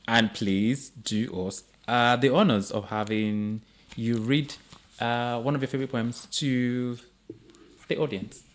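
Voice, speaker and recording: A man hosting a podcast, chatting with his guest in a relaxed and encouraging way. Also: medium pitch, slow, slight background noise. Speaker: podcast host. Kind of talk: casual interview chat.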